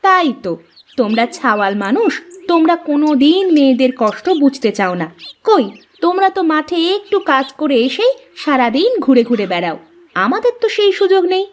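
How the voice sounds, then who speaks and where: A woman's voice: high-pitched, very animated, normal speed, slight background noise. A woman voicing a hard-working village housewife and mother in a cartoon, with a fondly scolding, complaining manner. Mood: aggrieved. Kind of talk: cartoon dialogue acting.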